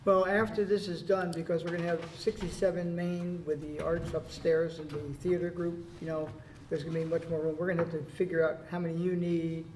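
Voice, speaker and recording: A town board member questioning and discussing plans at a public meeting, casual and talkative. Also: male voice, on the high side, level tone, brisk, hardly any pauses, darkish tone, slight background noise. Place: town hall meeting room, indoors. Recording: echoey room audio.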